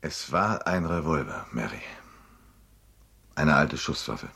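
An actor playing a shrewd, relentless investigator in a German radio play, with a probing, controlled, insistent manner. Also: male voice, very deep voice, sing-song, takes it slowly, vocal fry, sharp tone, reverberant, full character voice. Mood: alert. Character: shrewd, relentless male investigator cornering a suspect.

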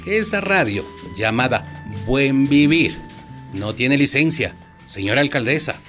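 A man's voice: medium pitch, expressive, unhurried, fairly bright tone, faint music behind. A man acting a pompous, indignant judge in a radio drama, emphatic and easily flustered. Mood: pompous, insistent. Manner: pompous, emphatic, hesitating when challenged.

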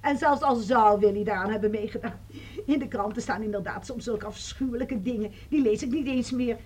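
A woman's voice: on the high side, fairly quick, darkish tone, a little hiss or hum, lightly acted. An actress playing a talkative, defensive woman friend, chatting on the phone in a radio play. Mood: chattering. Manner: chatty, defensive, self-justifying.

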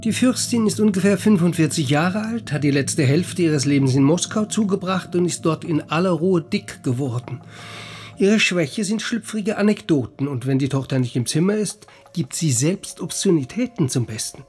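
An actor playing a small, skeptical, gossipy doctor with a husky voice and a knowing, measured manner in a radio drama. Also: male voice, a little high, lively intonation, darkish tone, faint music behind, lightly acted. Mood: dry gossip. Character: skeptical, gossipy male doctor, small and gaunt, shrewd confidant.

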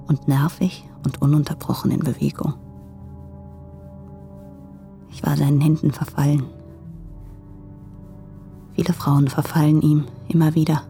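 An actress playing a lonely, despairing young woman who confesses in letters in a radio drama, with a husky, halting, subdued delivery. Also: sits low, mellow. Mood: lonely, wistful. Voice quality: breathy, husky with creaky edges.

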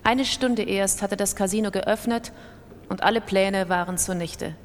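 A woman's voice: mid-range voice, measured pace, a little hiss or hum, natural voice. A woman performing a troubled, defiant gambler and narrator in a literary radio drama. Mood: grave, bleak. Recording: reverberant hall.

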